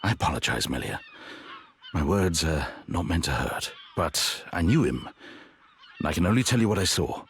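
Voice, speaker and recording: A man voicing a grave, honourable, regretful warrior in a video game, earnest and measured. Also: rumbling low, big pitch swings, a bit bright, slightly rough, exaggerated voice. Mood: apologetic, subdued. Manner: earnest, measured, formal, brooding. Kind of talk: dramatic video game voice acting.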